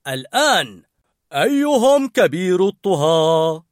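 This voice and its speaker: A woman voicing a kindly older male chef who gently teaches and encourages. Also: lowish voice, sing-song, takes it slowly, dry recording, studio quality, voice pushed up. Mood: approving. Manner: warm approving teacher.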